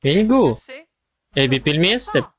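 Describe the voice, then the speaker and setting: A man's voice: fairly high pitch, very animated, a little slow. A man voicing a big, friendly, simple-minded monster in an amateur cartoon dub, in short simple phrases. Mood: puzzled.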